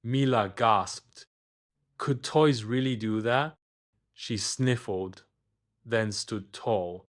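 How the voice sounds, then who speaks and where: A man narrating a scripted children's bedtime story, expressive and deliberate, with dramatic pauses. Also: lowish voice.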